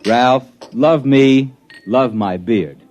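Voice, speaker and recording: An actor playing a hip, wisecracking jazz disc jockey who narrates a 1950s radio play in wry, wordy slang. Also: male voice, mid-range voice, drawn-out words, a little mellow. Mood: droll, chummy. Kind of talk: 1950s radio drama acting. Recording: dull vintage 1950s radio, music behind.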